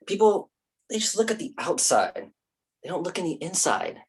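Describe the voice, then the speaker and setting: A man's voice: high voice, wildly swooping pitch, moderate pace, gravelly, slightly rough, full character voice. A man playing a theatrical, flirty, self-pitying actor in his mid-thirties in a staged play reading. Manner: whiny, theatrical, pretentious.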